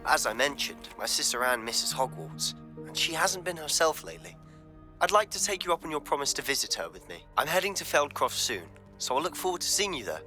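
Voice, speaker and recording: A man's voice: fairly high pitch, big pitch swings, brisk, bright, forceful tone, soft background music. A man playing a friendly, earnest teenage student wizard in a video game. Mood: friendly, hopeful. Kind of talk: video game character acting.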